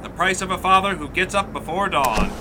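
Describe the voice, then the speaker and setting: An actor playing a breezy, boastful young college chum of the 1920s, jaunty and mock-formal, in a period audio drama. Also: male voice, sits high, animated, fairly quick, background noise.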